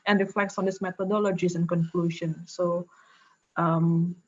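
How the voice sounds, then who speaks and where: A woman's voice: lowish voice, little pitch movement, moderate pace, darkish tone. A woman hosting an online talk, posing questions and partly reading from notes, over a lo-fi call. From Indonesia. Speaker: host, interviewer.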